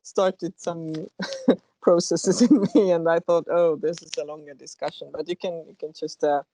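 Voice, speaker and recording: A woman's voice: fairly low pitch, measured pace, dark tone, rough recording. A woman interviewing musicians, hesitant and searching for words, with many uhs and restarts, speaking English as a second language. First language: Estonian. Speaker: interviewer host. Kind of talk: interview conversation.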